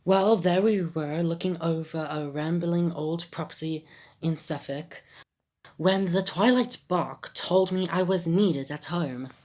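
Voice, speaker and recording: Devoted, proper Dalmatian dad from a video game, read plainly and fairly low by a woman with a phlegmy throat. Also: slow, a little mellow. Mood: earnest. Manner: reads game dialogue aloud in a plain voice.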